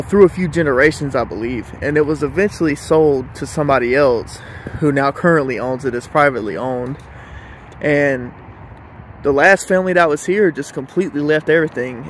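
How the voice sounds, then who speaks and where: A man's voice: mid-range voice, a little hiss or hum. A man giving a chatty, enthusiastic walkthrough of an empty old house, recorded on a handheld camera mic. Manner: enthusiastic, chatty tour guide. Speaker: urban explorer host. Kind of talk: casual walkthrough narration.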